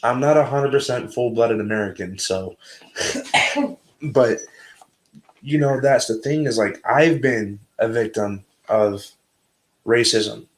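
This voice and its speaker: A man's voice: medium pitch, slow pace, long pauses. Guy hosting a stream, earnest, keeps stumbling n repeating himself, echoey room. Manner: earnest, stammers and repeats words. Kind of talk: casual heated chat.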